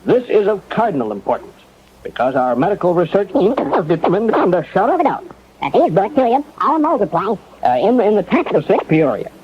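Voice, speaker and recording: An actor playing a grave doctor reading a formal emergency statement in a radio drama, stiff, emphatic and slightly halting. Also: male voice, sits high, very animated. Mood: grave, urgent. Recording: dull, noisy old radio recording.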